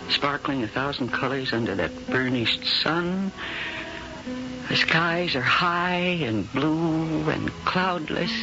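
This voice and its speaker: An actress playing an anxious, world-weary middle-aged film star and singer in a radio drama, with a low voice and halting, wistful delivery. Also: female voice. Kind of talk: 1970s radio drama acting. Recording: dull vintage radio broadcast with music.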